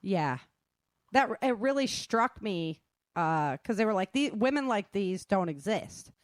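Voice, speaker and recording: A woman's voice: medium pitch, lively intonation, measured pace, no room sound. Casual woman instructor, dry close sound. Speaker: self-defense instructor and author. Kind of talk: casual informal talk.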